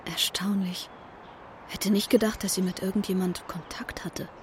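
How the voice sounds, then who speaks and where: A woman's voice: medium pitch, little pitch movement, normal speed, in a whisper, natural voice. An actress playing an observant, wry teenage girl narrating an audio drama in the first person. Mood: wry, hushed. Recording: clear vehicle ambience behind.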